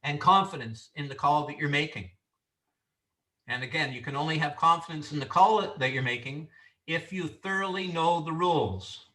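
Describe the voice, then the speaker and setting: A man from Canada lecturing referee trainees, loud and sure of himself, his voice bright and a touch high. He sits back from the mic in an echoey room, so the sound is roomy and distant. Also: moderate pace. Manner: loud, confident, instructive delivery. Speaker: referee instructor.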